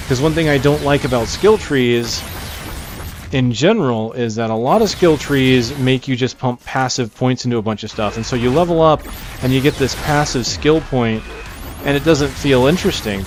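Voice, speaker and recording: A man giving casual, unscripted gameplay commentary as he presents a game, rambling and thinking aloud with game music behind him. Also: mid-range voice. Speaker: gamer presenting a game preview.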